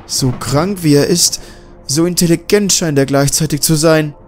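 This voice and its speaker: A man's voice: on the high side, moderate pace, soft background music, pitched above the performer's own voice, natural voice. A man voicing a frightened young woman journalist who speaks urgently and anxiously. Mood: alarmed.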